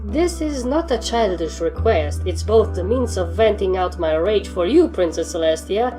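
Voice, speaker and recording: A woman acts out a young pony trainer from a storybook, stern and demanding. The delivery is formal and firm, pitched a little up, with a mellow tone over music. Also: expressive, normal speed, close to an everyday voice. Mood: calm, dry. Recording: music under the voice.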